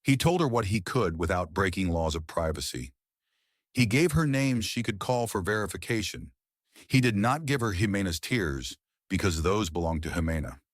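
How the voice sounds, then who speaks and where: Deep-voiced man narrating a story. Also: animated, moderate pace, no room sound, studio quality. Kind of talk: scripted dramatic storytelling narration.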